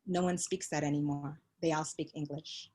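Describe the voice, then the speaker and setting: A woman reading her own poem aloud on a video call, measured and incantatory. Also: deep voice, fairly even intonation, a little mellow. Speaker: workshop participant.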